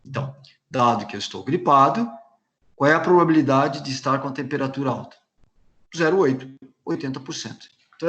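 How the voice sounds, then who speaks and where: A Brazilian man teaching a university class from his slides, his voice sitting a little high for a man. He talks patiently, going back over each point and rephrasing it, often stopping and restarting mid-sentence. The recording carries a noticeable room echo. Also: fairly bright tone. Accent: Brazilian Portuguese. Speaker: university lecturer. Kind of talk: recorded lecture explaining slides. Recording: echoey room.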